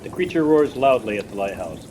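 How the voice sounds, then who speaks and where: A man's voice: medium pitch, very animated, fairly quick. Male radio play narrator over rain noise. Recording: noisy rain and storm ambience.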